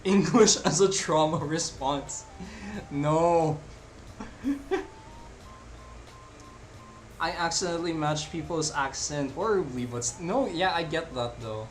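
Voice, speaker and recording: A man's voice: a little high, takes it slowly, pauses often, faint music behind. A young man from the Philippines chatting casually with viewers while he draws live, rambling with lots of fillers. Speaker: live-drawing streamer. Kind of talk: casual live-stream chat while drawing.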